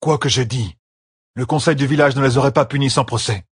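Guy doing a grumbly hot-headed young lord, fantasy audiobook, blunt, a bit husky, pitched up from his narration. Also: a little fast, dry recording, clean studio sound. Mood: grudging. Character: gruff, protective young village lord, hot-tempered.

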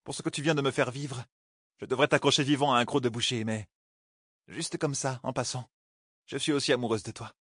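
A man's voice: mid-range voice, fairly even intonation, brisk, a bit bright, slightly rough, no echo, voice pushed up. Guy reading as a feisty young woman from a fantasy novel, stubborn, blunt, teasing, very dry close sound. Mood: frank, tender. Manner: defiant, teasing, bluntly outspoken. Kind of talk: audiobook character dialogue.

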